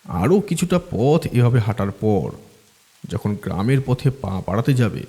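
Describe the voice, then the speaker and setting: A man's voice: lowish voice, sing-song, a little slow, warm tone, big-room sound. A man narrating a horror audio story in a dramatic, suspenseful way.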